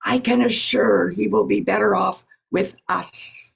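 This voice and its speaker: A woman's voice: mid-range voice, very animated, measured pace, creaky, husky, full character voice. A woman playing a huge, stolid alien police inspector with formal speech in an amateur radio play. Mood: businesslike. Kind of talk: amateur old-time radio play acting.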